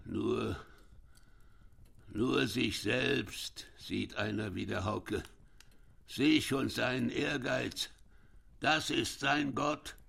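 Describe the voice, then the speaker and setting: Gravelly old father, slow and brooding. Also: medium pitch, a bit bright, heavily acted. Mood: bitter, weary. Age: older. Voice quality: gravelly, husky voice. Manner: slow, brooding, halting with repeated words. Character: bitter, ailing old dike reeve, brooding father. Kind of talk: German radio drama acting.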